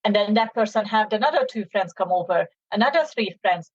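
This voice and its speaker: A woman's voice: medium pitch, lively intonation, a little fast, dry recording. Female podcast guest, middle-aged, Hungarian accent, informal explanatory delivery, dry and dull-sounding audio. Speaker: podcast guest, small business owner. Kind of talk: conversational interview answers. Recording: dull, band-limited audio.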